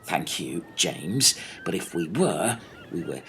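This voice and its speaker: A man's voice: sits low, very animated, takes it slowly, a bit bright, a little hiss or hum, full character voice. A man playing an exasperated, sweary castaway in a comic radio play.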